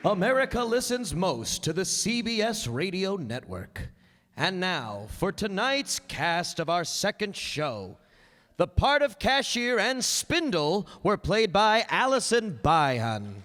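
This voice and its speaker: A man's voice: high-pitched, big pitch swings, takes it slowly, fairly bright tone. A man working as announcer and narrator, addressing a live audience from the stage. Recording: echoey hall, audience and faint noise.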